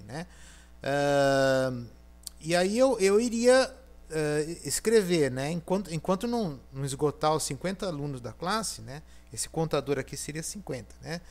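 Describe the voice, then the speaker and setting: A man with a Brazilian Portuguese accent explains a lesson in a loose, conversational way. He thinks aloud, often pausing to check that students are following. His voice sits a little higher than most men's. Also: darkish tone. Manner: thinking aloud, frequent "né?" and fillers. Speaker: university lecturer. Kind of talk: recorded programming lecture.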